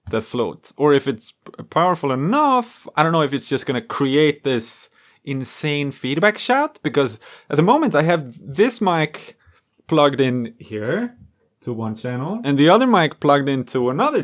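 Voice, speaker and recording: A man presenting in a casual, spontaneous way, excitable and playful as he thinks aloud through a demonstration. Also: medium pitch. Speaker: host and musician demonstrating homemade experimental gear. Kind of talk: casual spontaneous demo to camera.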